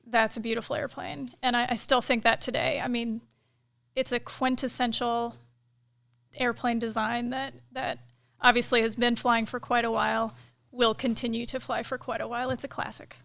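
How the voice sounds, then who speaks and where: Gravelly-voiced woman in a casual interview. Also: fairly high pitch, normal speed. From United States. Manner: relaxed, thoughtful, many fillers. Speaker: KC-135 pilot and director of inspections, guest.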